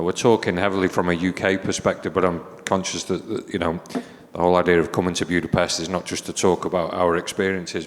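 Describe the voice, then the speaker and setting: A man moderating a conference panel, politely inviting questions from the audience. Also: deep voice. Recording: reverberant hall.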